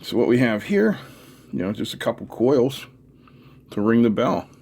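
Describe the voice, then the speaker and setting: A man's voice: mid-range voice, big pitch swings, moderate pace, gravelly, a little mellow. A middle-aged man narrating hands-on teardown work casually, unscripted, muttering to himself as he goes. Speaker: host of an electronics teardown video. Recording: noisy room recording with clicks.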